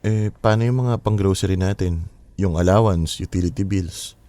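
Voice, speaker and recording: Low, mellow, hesitant young boyfriend played by an actor. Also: male voice, moderate pace, dry recording, lightly acted. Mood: worried. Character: affectionate but money-worried young boyfriend, apologetic. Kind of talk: Filipino radio drama acting.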